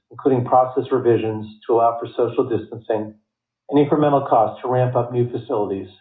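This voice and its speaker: A man reading a scripted earnings report as a company finance executive, in a formal, measured way. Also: mid-range voice. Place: conference call line. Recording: tinny phone line, roomy echo.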